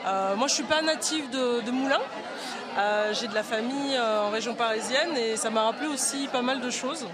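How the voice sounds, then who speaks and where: A woman's voice: fairly high pitch, normal speed, a crowd in the background. A woman at a film festival answering briefly and with enthusiasm. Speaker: festival-goer. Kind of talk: vox-pop answer.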